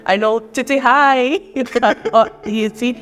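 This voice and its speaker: Lively woman hosting a chat. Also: a little high, slow. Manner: lively, laughs often, asks long rambling questions. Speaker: host. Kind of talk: casual interview chat.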